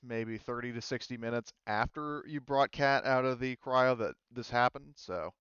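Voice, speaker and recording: A man's voice: medium pitch, moderate pace, fairly bright tone, clean tone, no echo, highs cut off. A man narrating as game master, rambling with many fillers and restarts. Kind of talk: narrating a tabletop game, casual.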